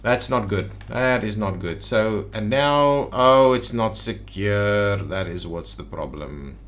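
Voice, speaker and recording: A man's voice: lowish voice, lively intonation, slow, fairly bright tone. A man giving casual gameplay commentary as a video host, thinking aloud and muttering to himself. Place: indoors at a computer.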